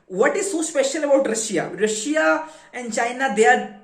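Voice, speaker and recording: A man's voice: very high-pitched, animated, moderate pace. A man with an Indian accent giving an informal explanatory lecture, emphatically posing questions and then answering them. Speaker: educator presenting a finance explainer. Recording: roomy, echoing sound.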